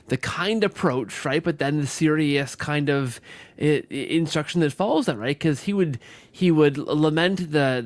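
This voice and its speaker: A man with a fairly high-pitched voice talks as an interview host. He speaks conversationally and haltingly, doubling back on words and tagging his points with a questioning "right?". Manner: halting, repeats words, often adds "right?". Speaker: podcast host.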